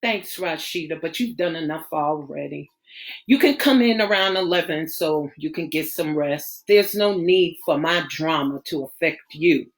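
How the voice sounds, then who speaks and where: A woman voicing a bitter, betrayed young man who speaks in a low, brooding, clipped way. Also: measured pace. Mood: weary.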